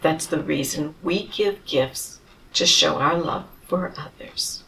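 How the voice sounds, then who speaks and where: Slow, gentle woman reading a story. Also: deep voice, vocal fry, distant mic, slight background noise, rough recording. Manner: slow, gentle and softly explaining. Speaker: storybook reader.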